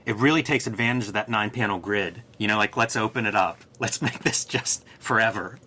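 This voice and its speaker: A man's voice: fairly low pitch, a little fast, pushed and bright. A man co-hosting a comics show, giving casual, enthusiastic and appreciative commentary in a conversational way. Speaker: co-host of a comics review show.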